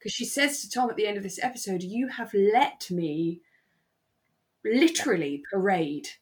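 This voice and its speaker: A woman's voice: medium pitch, lively intonation, normal speed. A woman co-hosting a podcast in casual, conversational chat. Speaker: podcast co-host. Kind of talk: casual chat about a radio drama.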